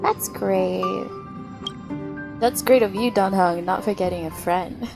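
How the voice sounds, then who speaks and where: A woman's voice: medium pitch, slow, vocal fry. A woman livestreaming a story game, reacting casually with playful, gushing comments over game music.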